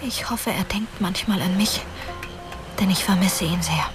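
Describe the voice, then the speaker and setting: Female actor as young hero-in-training, diary monologue, unhurried, mid-pitched, confiding, over music. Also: close to an everyday voice. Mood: wistful, confiding. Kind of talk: German radio drama monologue.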